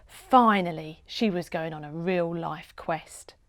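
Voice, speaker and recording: A woman narrating a children's storybook in an expressive, dramatic read-aloud style with deliberate phrasing. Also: on the low side, no echo, clean studio sound.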